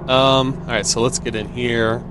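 An American man with a mid-range voice hosts a gaming video. He talks casually and thinks out loud in an easygoing way, with faint game engine noise behind him. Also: mid-range voice, flat delivery, moderate pace. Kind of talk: casual let's-play commentary.

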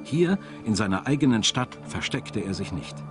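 A man reads scripted documentary narration in a measured, articulate, storytelling way. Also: lowish voice, sing-song. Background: background music.

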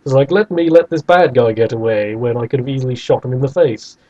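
A man with a mellow, relaxed tone argues casually as a podcast host, often slipping into mock quotes. His pitch is average, and the recording is a little dull and lo-fi. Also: quick pace. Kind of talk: casual debate about video games.

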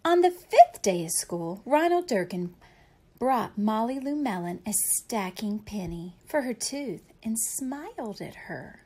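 A woman reads a children's storybook aloud in a fairly high voice, taking her time over every phrase. She is expressive and speaks simply and directly to young listeners, like a teacher with a class. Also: unhurried. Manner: simple explanatory asides addressed to children. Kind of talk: expressive picture-book read-aloud for children.

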